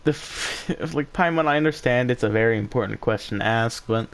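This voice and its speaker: Male game streamer: mid-pitched, casual, exasperated reactions, blunt and sweary. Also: fairly quick, clean tone. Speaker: gaming streamer reacting to story. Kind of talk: casual game playthrough commentary.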